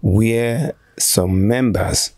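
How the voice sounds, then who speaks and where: A man in his sixties with a Nigerian accent, giving halting, deliberate answers as a church shepherd in an interview. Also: on the low side, a little mellow, a little hiss or hum. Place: small furnished room indoors, on a sofa. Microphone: handheld interview microphone held by interviewer.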